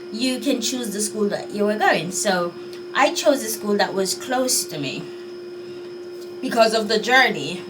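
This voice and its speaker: A woman's voice: medium pitch, recorded from a distance, noisy background. A Jamaican woman vlogging in a chatty, unsure way, sighing as she thinks things over. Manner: chatty, musing and unsure, with sighs. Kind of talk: casual talk to camera.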